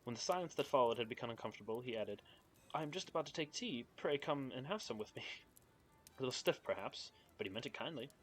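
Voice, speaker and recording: A man's voice: mid-range voice, lively intonation, fast, breathy edge, soft background music, poor audio. A young man on a live stream, reading a book aloud and chatting with viewers in a nervous, giggly way. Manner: giggly, nervous, chats with viewers between passages. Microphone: home streaming mic.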